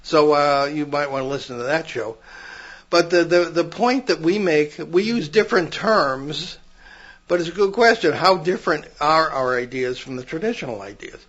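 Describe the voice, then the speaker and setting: A man's voice: on the high side, animated, normal speed, fairly bright tone, highs cut off. An older man co-hosting a paranormal podcast, telling long stories with frequent restarts in casual chat. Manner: rambling, many restarts, storytelling.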